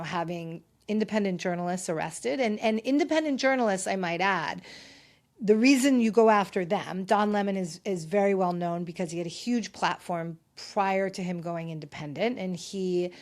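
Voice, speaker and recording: A woman's voice: mid-range voice, darkish tone. A woman hosting a solo podcast with a slight lisp, talking in a chatty, sarcastic way with rambling asides. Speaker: podcast host. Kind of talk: casual solo podcast chat.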